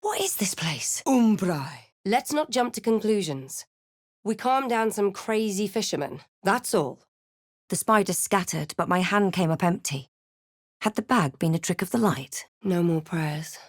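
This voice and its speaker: An actress playing a thoughtful, wary adventurer and spellcaster in a fantasy video game, speaking in a measured, deliberate way with dry snark. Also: female voice, medium pitch, lively intonation, dry recording. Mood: wary, curious, then commanding incantation, then dry, practical. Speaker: video game player character. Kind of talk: scripted game voice lines, narration and barks.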